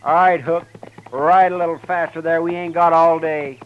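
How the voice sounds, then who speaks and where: An actor playing an earnest, polite young frontier helper in a 1950s radio drama. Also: male voice, fairly high pitch, steady tone, normal speed, highs cut off, over music, natural voice. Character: loyal young male deputy helper, earnest.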